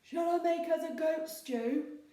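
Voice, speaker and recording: A woman's voice: very high-pitched, measured pace, warm tone, far from the mic, pitched above the performer's own voice. A woman voicing a timid, hungry male troll in a storybook, talking in a small, anxious voice. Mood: eager. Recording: very echoey room.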